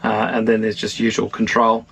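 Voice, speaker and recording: A middle-aged man giving an informal, measured technical explanation of equipment, as a technician would. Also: on the low side, monotone, a bit bright. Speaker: marine electronics technician demonstrating equipment. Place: small wooden boat wheelhouse on the water.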